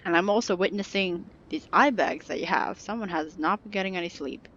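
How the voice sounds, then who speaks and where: Low, creaky-voiced young woman gaming. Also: brisk, a bit bright, a little hiss or hum. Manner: wry, playful, flippant. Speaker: gamer commentating on her playthrough. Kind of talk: casual joking commentary. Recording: dull lo-fi microphone. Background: game music.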